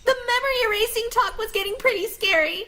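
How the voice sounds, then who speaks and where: A woman's voice: very high voice, quick pace. A woman voicing a quippy, hyperactive young superpowered heroine in a comic dub. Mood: nervous, quippy. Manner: quippy, excitable. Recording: loud music behind the voice.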